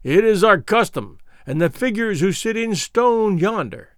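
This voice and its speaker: Storybook villain king, male reader: imperious, grave, archaic phrasing, animated intonation, cruel and immovable. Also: sits high, measured pace, clear, resonant tone, no room sound, clean studio sound, pitched above the performer's own voice. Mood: solemn menace. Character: cruel tyrant king, grave, commanding, unyielding.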